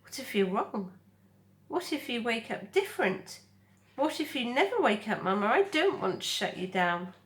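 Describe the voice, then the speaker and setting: Storybook gosling boy, played by a woman: very high, squeaky, childlike, curious and easily worried. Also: sing-song, moderate pace. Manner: childlike, eager. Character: young male gosling, childlike, curious, excitable and anxious.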